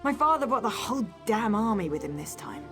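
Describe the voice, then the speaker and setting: A woman's voice: fairly high pitch, sing-song, fairly quick. An actress playing a headstrong, sarcastic young noblewoman on the run in a video game, defiant and wry. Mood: determined. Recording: game music behind the voice.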